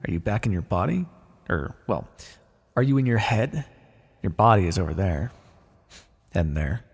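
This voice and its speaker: A man playing a blunt, callous, wryly businesslike necromancer in an ASMR fantasy roleplay, muttering in a deadpan, gravelly voice. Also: low voice, normal speed, full character voice. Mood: dry, businesslike. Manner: deadpan, muttering to himself in thought. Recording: long reverberant hall echo.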